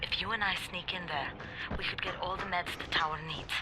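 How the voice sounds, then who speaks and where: An actress playing a brisk, determined female fighter in a video game, direct and businesslike. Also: fairly low pitch, steady tone, background noise, lightly acted. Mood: urgent. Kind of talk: naturalistic video game acting.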